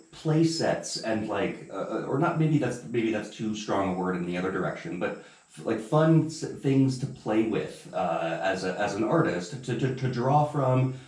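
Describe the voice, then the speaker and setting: Male interviewer, slightly low voice, tentative and word-searching, casual tone, roomy distant recording. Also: a little mellow.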